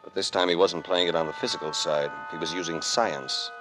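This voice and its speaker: An actor playing a cool, sardonic American investigator in 1940s hard-boiled radio style. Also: male voice, low-pitched, steady tone, a little fast, close to an everyday voice. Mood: grim narration. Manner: dry, sardonic, clipped. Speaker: lead and narrator. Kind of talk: 1940s radio acting, noir narration. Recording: vintage radio drama with music.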